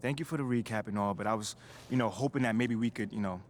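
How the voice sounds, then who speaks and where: A man's voice: on the low side, quick pace, slight background noise, lightly acted. An actor voicing a laid-back young male rookie basketball player in a video game cutscene, talking casually with slang and jokes. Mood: relaxed. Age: young adult.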